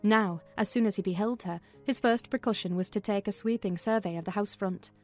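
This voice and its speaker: A woman narrator with a mid-pitched voice reads a classic novel expressively. Soft music plays faintly behind her storytelling. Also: brisk.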